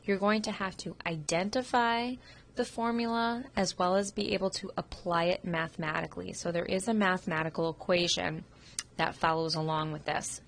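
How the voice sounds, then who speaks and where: A sick woman teacher with a slightly low voice talks through a test review into her computer microphone. She sniffles now and then and explains in a loose, informal way, with plenty of ums. Faint room noise sits behind her. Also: fairly even intonation, moderate pace, vocal fry. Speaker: radiography instructor. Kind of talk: recorded test review lecture over slides.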